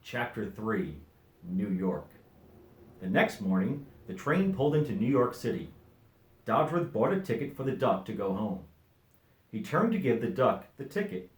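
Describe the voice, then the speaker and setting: A middle-aged man reading a children's storybook aloud as an expressive narrator. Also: fairly low pitch, normal speed, roomy sound. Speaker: volunteer story reader.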